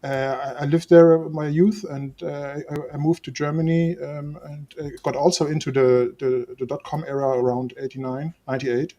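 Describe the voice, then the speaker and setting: A man's voice: on the high side, fairly even intonation, normal speed, hardly any pauses, warm tone, highs cut off. Hesitant man from Spain, many restarts. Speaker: guest, agency owner. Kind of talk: livestream guest intro.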